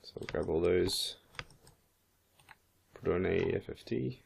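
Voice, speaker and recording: A man with a croaky voice, casually thinking aloud as he walks through a 3D modeling software tutorial. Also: low-pitched, slow, a little hiss or hum. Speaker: 3D modeling instructor. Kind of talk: screen-recorded software tutorial.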